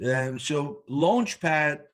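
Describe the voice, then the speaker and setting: A man's voice: on the high side, expressive, drawn-out words, fairly bright tone. A man born in Turkey hosting a business interview podcast, talking emphatically and repeating words for stress. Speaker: podcast host.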